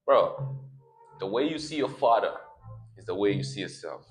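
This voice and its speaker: Slangy young man with a low voice. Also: expressive, normal speed, soft background music. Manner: slangy, streetwise, repeats phrases for emphasis. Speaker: YouTuber. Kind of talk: casual motivational vlog.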